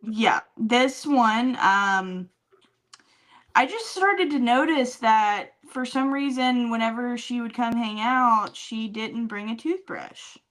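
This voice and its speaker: A woman's voice: a little high, slow, fairly bright tone. A woman podcast co-host chatting casually and telling comic stories with teasing, dry sarcasm. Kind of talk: casual comedic chat and storytelling.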